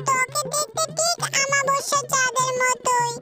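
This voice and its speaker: A spiteful little fox stepsister in a cartoon. She teases and sneers in an extremely high, squeaky voice, with music behind. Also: fairly even intonation, moderate pace. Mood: mocking. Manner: sneering, teasing. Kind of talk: cartoon story voice acting.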